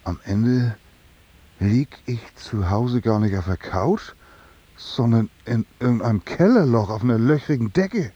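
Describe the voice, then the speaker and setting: An actor playing a boozy, slow-witted unemployed locksmith in a German radio play, naive and halting in his colloquial talk. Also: medium pitch, lively intonation, mellow, slightly rough, dull audio. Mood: gloomy musing.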